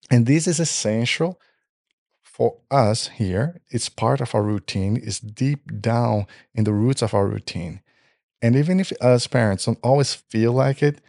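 Gravelly Portuguese-speaking man podcaster. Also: fairly low pitch, a little mellow, no room sound, clean studio sound. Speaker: podcast co-host. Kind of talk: podcast narration.

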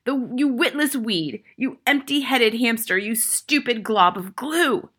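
A woman's voice: a little high, lively intonation, unhurried, fairly bright tone, slightly rough, voice pushed up, exaggerated voice. A woman voicing a huge, ferocious headmistress in a story, barking and bellowing contemptuous orders and insults. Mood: furious, bellowing.

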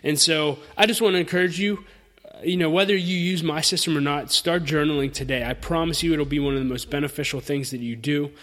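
A man's voice: a little high, fairly even intonation, brisk, a bit bright, big-room sound. A thirty-year-old man hosting a solo podcast, speaking informally and earnestly to listeners in an encouraging, conversational lesson style. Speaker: podcast host.

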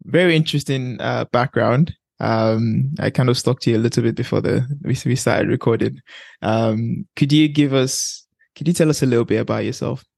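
A man's voice: mid-range voice, moderate pace, vocal fry, a little mellow, dry recording. A man hosting an interview podcast, chatting casually and in a friendly way. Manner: friendly, laughs easily. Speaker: podcast host.

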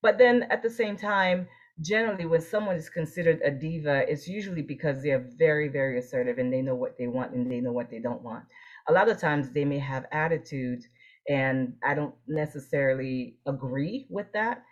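A woman's voice: low-pitched, brisk, highs cut off. A woman from Haiti speaking earnestly and assertively in a conversational interview, repeating phrases for emphasis. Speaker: interview guest, optometrist and leadership coach.

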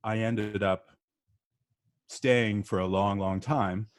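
A middle-aged man from the northeastern US talks as a podcast guest in a low, unhurried voice. He is chatty and easygoing and often pauses with ums and uhs. The recording is dry, with no room echo. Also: fairly even intonation. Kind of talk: casual interview storytelling.

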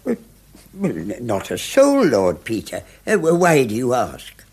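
Prim, easily affronted English gent for period radio drama. Husky, very animated, hesitant and formal. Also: male voice, medium pitch, unhurried, exaggerated voice. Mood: fussy, puzzled. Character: fussy, nervous, easily affronted provincial English gentleman. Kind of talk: classic British radio drama acting.

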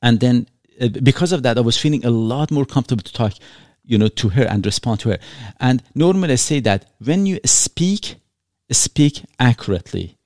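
Man from Iran, podcast host, earnest, explains with examples, mid pitch, dry close sound. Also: expressive, normal speed, few pauses, a little mellow, no echo. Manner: earnest, explains with examples, non-native phrasing. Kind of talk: reflective conversational podcast.

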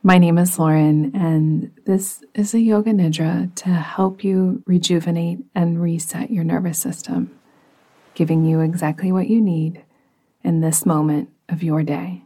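Slow, husky, breathy woman meditation guide. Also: fairly low pitch, steady tone. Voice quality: soft, breathy, partly whispery. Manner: soothing, gentle, unhurried. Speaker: yoga nidra meditation guide.

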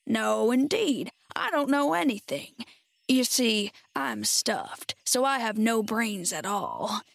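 A woman reader puts on a storybook scarecrow, a simple, earnest straw man. The voice is husky and creaky, the words drawn out slowly and politely. It is recorded very dry and close. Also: on the high side, lively intonation, no room sound, full character voice. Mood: glum. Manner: slow, drawn-out, polite delivery.

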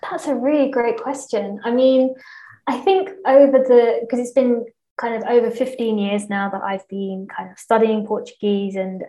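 Woman, native English speaker, language teacher; flat, even delivery, explanatory, restarts often. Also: medium pitch, low-quality recording. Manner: explanatory, lots of fillers and restarts. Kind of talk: casual interview conversation.

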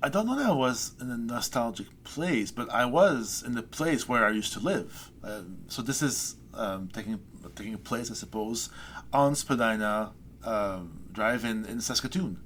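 A middle-aged man, a poet, reading his poem and then talking it over in a thoughtful, hesitant way with many pauses. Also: mid-range voice, animated, a bit bright, slight background noise. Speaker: poet guest. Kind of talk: poetry reading and interview.

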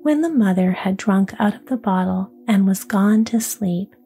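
A woman narrating a fairy tale in a soft unvoiced whisper, ASMR style. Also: medium pitch, lively intonation, moderate pace, soft background music.

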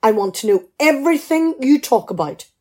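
A woman's voice: sits high, animated, moderate pace, dark tone. A middle-aged woman, playing a shrewd, teasing, practical wife in a radio play. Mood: teasing, determined. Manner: dry, bantering, probing. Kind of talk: amateur radio play dialogue.

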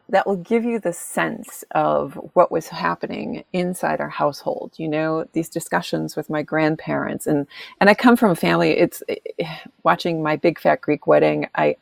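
A middle-aged American woman, an author, chatting in an interview and telling animated family stories, laughing often and acting out the voices of people she quotes. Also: low voice, unhurried, creaky, dry recording. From United States. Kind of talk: casual interview conversation.

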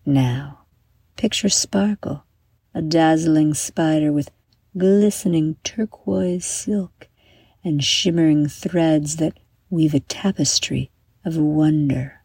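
A woman with a low, mellow voice leads a guided bedtime meditation. She reads in a soothing, unhurried way, with a soft husky breathiness as phrases end. The recording is close and dry. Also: no room sound. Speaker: guided meditation narrator and host.

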